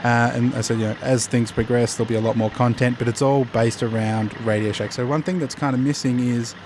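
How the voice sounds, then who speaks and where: Australian male host for informal app review commentary, medium pitch, hesitant explainer. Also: fairly even intonation, brisk, noisy background.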